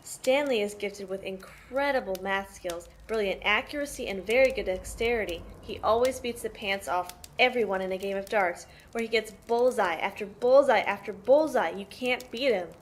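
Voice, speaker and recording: A woman acting as a protective big sister with a medium-pitched voice. She is tough and matter-of-fact, explaining things like an interviewee in an amateur audio play. There is some noise on the recording. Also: normal speed, hardly any pauses, natural voice. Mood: explanatory. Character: protective, tough sister mothering five troubled orphaned brothers. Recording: noisy amateur home recording.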